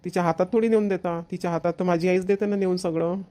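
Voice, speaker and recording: A man plays a young husband in a cartoon family comedy. He talks rapidly and on an even pitch, firmly reasoning and insisting in defence of his family. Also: natural voice. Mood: firm, defensive.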